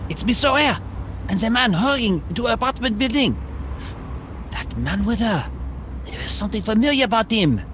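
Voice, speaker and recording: A high-voiced French sergeant acted by a man swoops through his lines with a French accent. He is an eager, halting sidekick in a comic radio mystery, with faint noise behind him. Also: sing-song, a bit bright. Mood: excited.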